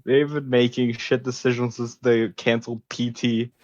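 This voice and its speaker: A man's mid-range voice comes through a slightly muffled, lo-fi microphone. He chats casually as a podcast co-host, informal and quick to swear. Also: mid-range voice, little pitch movement, darkish tone. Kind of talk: casual chat about video games. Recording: dull, lo-fi microphone sound.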